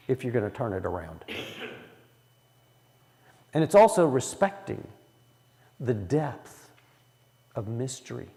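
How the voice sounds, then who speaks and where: A man's voice: medium pitch, gravelly, warm tone, in a large hall. A man leading a church Bible study in a folksy way, asking the room questions as he teaches. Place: church hall.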